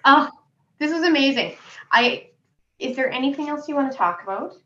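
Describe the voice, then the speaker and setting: A woman's voice: high-pitched, animated, normal speed, bright, forceful tone, muffled recording. A chatty woman with a young-sounding voice, a podcast guest in casual conversation over a remote call. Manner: chatty, laughs easily. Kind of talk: casual chat.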